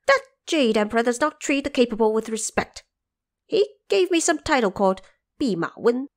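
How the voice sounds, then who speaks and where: A woman's voice: fairly high pitch, expressive, moderate pace. A woman voicing a proud, hot-tempered, boastful male monkey king in an audio drama. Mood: scornful. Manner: boastful, commanding, indignant. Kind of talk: audio drama character acting.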